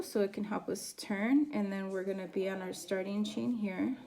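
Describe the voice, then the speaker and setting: A woman teaching a crochet pattern step by step, her voice raspy from a sore throat as she counts stitches aloud. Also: mid-range voice, a little mellow. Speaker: crochet instructor. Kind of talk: step-by-step craft tutorial.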